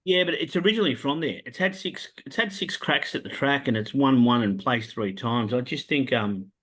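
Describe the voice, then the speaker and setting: A man with an Australian accent hosting a casual horse racing tips chat, pitching his picks with lots of "um" fillers. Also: normal speed. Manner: animated salesman pitch, many "um" fillers. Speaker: racing tipster host.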